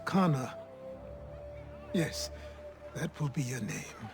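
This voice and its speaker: An actor playing a stern, world-weary older male mentor in a video game, gruff, measured and didactic. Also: medium pitch, lively intonation, music in the background. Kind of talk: video game cutscene acting.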